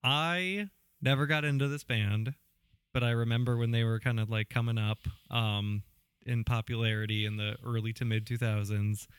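A man's voice: mid-range voice, fairly even intonation, normal speed, clear, resonant tone, no room sound. A man hosting a podcast, chatting casually about music and often rephrasing as he goes. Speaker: podcast host interviewing a guest.

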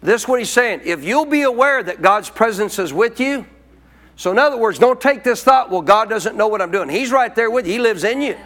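High-voiced preaching man. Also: animated, brisk, a bit bright. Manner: call-and-response preaching, colloquial. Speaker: pastor. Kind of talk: sermon teaching.

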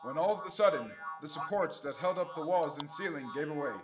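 An amateur male actor playing an entranced man whose thoughts are spoken through a machine in an old-time radio serial, slow and subdued. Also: a little high, music in the background, close to an everyday voice. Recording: eerie synthesizer effect behind the voice.

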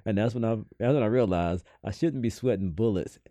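He is a man with a fairly low voice and a warm, relaxed tone. He talks casually, like someone co-hosting a chat show. The recording is close and free of room echo. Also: a little fast. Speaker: podcast co-host. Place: studio.

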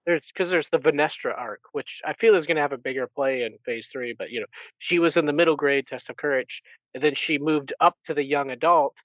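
A man's voice: fairly high pitch, fairly quick, a bit bright, no echo, highs cut off. A man co-hosting a podcast, chatting casually through a book recap in a rambling way and often correcting himself. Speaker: podcast co-host.

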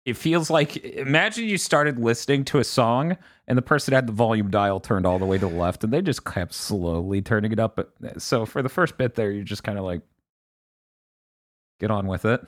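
A man's voice: lowish voice, normal speed. A man co-hosting a casual video game podcast, joking and mimicking game tutorials sarcastically. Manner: jokey, sarcastic, acts out game tutorials in a mock voice. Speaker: podcast co-host. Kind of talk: casual chat about video games.

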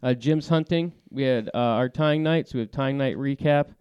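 A man's voice: medium pitch, normal speed, clear, resonant tone, no echo, highs cut off. A man hosting a casual fly fishing podcast from a small studio, laid-back with lots of filler words and a voice that carries. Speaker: podcast host.

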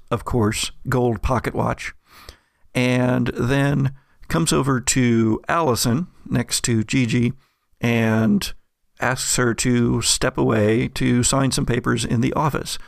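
A man's voice: mid-range voice, creaky, no room sound, clean studio sound. A man narrating a tabletop roleplaying game as game master and putting questions to the players.

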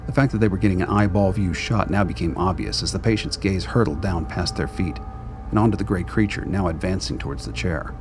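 Narrator for radio drama: man, very low voice, dramatic scripted read over a music bed.

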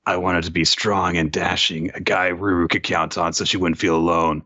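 A man's voice: deep voice, level tone, moderate pace, bright, forceful tone, highs cut off, full character voice. A man playing an earnest, lovelorn young man with a dramatic delivery. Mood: dejected.

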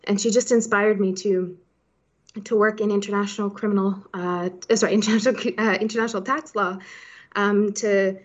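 A woman in her thirties talks as an interview guest in a conversational, chatty way, padding sentences with "you know". Her pitch is average, and the sound is somewhat dull and lo-fi. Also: fairly even intonation, fairly quick. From Switzerland-born, raised in Hong Kong. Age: 30s. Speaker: podcast guest, startup founder.

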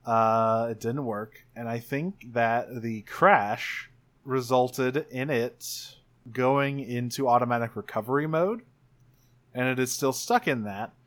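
A man's voice: mid-range voice, slow. A man leading a tabletop roleplaying game in casual talk, thinking aloud as he goes. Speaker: player and game leader in an actual play podcast.

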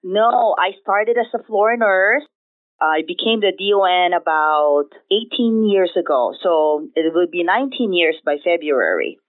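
A woman's voice: on the low side, lively intonation, measured pace. A middle-aged woman from the Philippines with a Filipino accent, answering conversationally as an interview guest. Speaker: interview guest, director of nursing. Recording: tinny phone line.

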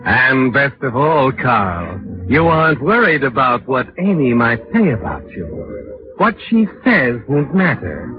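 Male narrator, vintage radio thriller: insinuating, deliberate, mid-pitched, over prominent music. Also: big pitch swings, muffled recording. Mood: knowing, taunting. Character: ominous, knowing, taunting male storyteller addressing the culprit. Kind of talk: 1940s radio suspense narration in second person.

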